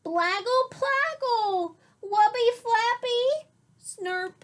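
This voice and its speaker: Woman doing a high-pitched babbling toddler girl. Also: extremely slow, a bit bright, full character voice. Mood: urgent babbling. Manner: babbling nonsense baby talk. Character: fussy little toddler girl babbling and wailing.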